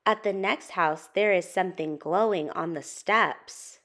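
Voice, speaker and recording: A woman's voice: fairly low pitch, a little slow, fairly bright tone. A woman hosting a children's storytime, reading and talking expressively to young listeners. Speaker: storytime host and narrator. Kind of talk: children's picture book read-aloud.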